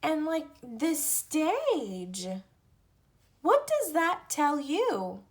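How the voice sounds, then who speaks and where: A woman reading a picture book aloud to children and teaching the alphabet, with deliberate pauses between phrases. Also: sits high, huge pitch swings. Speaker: read-aloud storyteller for children.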